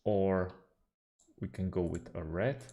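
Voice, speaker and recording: A man whose first language is Slovak, calmly walking through a software tutorial with frequent fillers. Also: low-pitched. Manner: unhurried, hesitant with fillers, slightly non-native phrasing. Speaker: tutorial host. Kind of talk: screen-recorded software tutorial.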